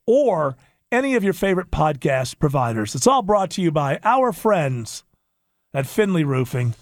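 A man's voice: fairly high pitch, very animated, moderate pace, dry recording, clean studio sound. A man hosting a radio talk show, joking and giving strong opinions in casual chat.

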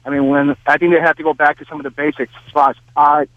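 A man's voice: fairly high pitch, level tone, no echo. A man from Portugal, calling into a radio show and listing his points one by one. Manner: rapid, lists points one by one. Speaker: caller. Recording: tinny phone line.

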